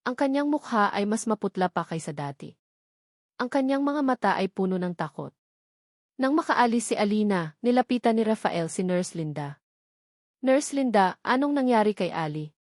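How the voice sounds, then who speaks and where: A woman's voice: medium pitch, lively intonation, normal speed, a little mellow, no echo. Female narrator, Filipino accent, dramatic romance storytelling, mid-range voice, dry recording.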